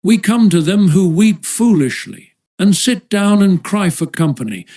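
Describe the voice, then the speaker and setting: A man narrating a philosophical essay as an audiobook, with a formal, measured literary delivery. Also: high-pitched, big pitch swings, darkish tone.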